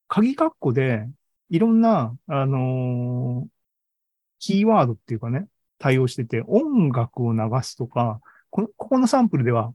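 A man hosts a casual online presentation in a mid-pitched voice. He speaks haltingly, thinking aloud between points. The sound is dry, with little room in it. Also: darkish tone, dry recording, dull audio. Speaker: podcast host and tech presenter. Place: online video meeting talk, dry close sound.

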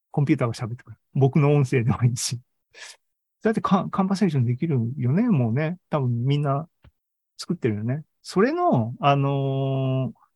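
Mellow-voiced man thinking aloud. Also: medium pitch, husky, no echo. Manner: conversational, seeks agreement with frequent sentence-end tags. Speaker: presenter of an online AI seminar and podcast. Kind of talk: informal tech talk, thinking aloud. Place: indoors, live online video meeting.

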